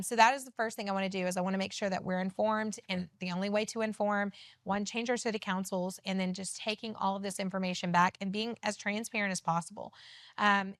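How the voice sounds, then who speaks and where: A woman with a loud voice, speaking as a city council candidate in an earnest, emphatic TV interview. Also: medium pitch, fairly even intonation, fairly quick, no echo. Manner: emphatic, earnest campaign talk. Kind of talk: TV studio interview.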